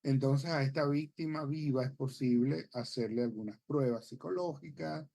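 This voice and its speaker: Venezuelan man, gravelly voice, careful hesitant explaining, tv studio. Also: medium pitch, mellow. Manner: measured, explanatory, with frequent hesitations. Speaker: psychologist guest. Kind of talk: expert explanation on a TV talk show.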